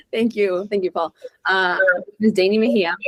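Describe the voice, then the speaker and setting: A young adult woman from Honduras chatting casually as an interview guest, informal and expressive, peppering her stories with "like". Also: mid-range voice, takes it slowly, dry recording. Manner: informal and expressive, peppered with "like", acts out quoted lines. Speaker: guest, real estate investor and entrepreneur.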